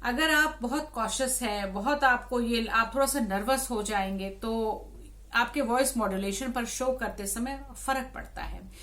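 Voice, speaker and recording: A woman's voice: on the high side, a little slow, a bit bright. A woman talking to viewers in an explanatory, conversational vlog style, restating points for emphasis. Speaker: radio jockey and vlogger.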